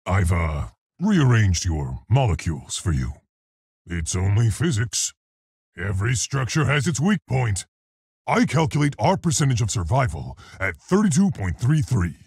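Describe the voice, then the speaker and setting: Big nerdy gorilla hero for a video game, rough raspy voice, polite n earnest, super dry studio sound. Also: male voice, on the low side, sing-song, a little mellow, dry recording, full character voice. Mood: awkward, polite. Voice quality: gravelly, husky. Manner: deliberate, precise, scholarly, hesitant. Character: big genteel scientist gorilla hero, earnest, bookish, awkward. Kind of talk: video game character voice lines.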